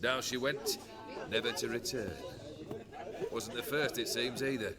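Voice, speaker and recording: A man's voice: medium pitch, fairly even intonation, measured pace, natural voice. A man playing a lordly, world-weary chieftain of a rowdy band in a fantasy video game, speaking with haughty eloquence. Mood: wry, dismissive. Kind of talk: video game cutscene acting. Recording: loud crowd chatter behind.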